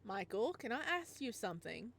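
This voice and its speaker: A woman voicing a flustered young single father in a visual novel, stammering when nervous, with lively swings in pitch. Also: moderate pace, gravelly, a little hiss or hum, full character voice. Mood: gentle. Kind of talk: reading a visual novel aloud.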